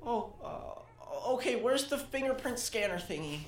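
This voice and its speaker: A woman's voice: a little high, lively intonation, a little slow, far from the mic. A woman acting in an audio drama as a timid, anxious twelve-year-old boy. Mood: reluctant. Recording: roomy, off-mic student audio drama.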